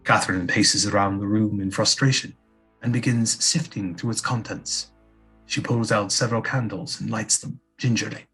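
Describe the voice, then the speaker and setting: A man's voice: lowish voice, fairly even intonation, normal speed, fairly bright tone, husky, faint music behind, rough recording. A man reading scripted stage directions as the narrator of an audio play.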